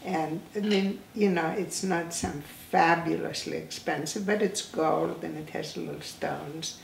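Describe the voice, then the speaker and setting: A woman in her eighties from Greece, giving an oral history interview, talking slowly with many halting restarts. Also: sits low, fairly even intonation, creaky, slight background noise. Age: 80s. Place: indoor room, seated in a chair. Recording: roomy, slightly distant sound.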